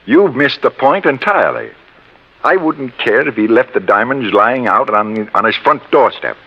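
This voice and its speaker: An actor with an American accent playing an earnest, exasperated insurance executive, emphatic and insistent, in 1950s radio drama style. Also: male voice, mid-range voice, big pitch swings, moderate pace, breathy edge. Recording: muffled old radio recording.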